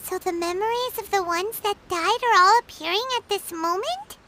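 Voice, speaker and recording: A woman voicing a tiny, childlike, inquisitive fairy companion in a video game, squeaky and exclamatory. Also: moderate pace, background noise. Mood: confused, sad. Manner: exclamatory, speaks of self in third person.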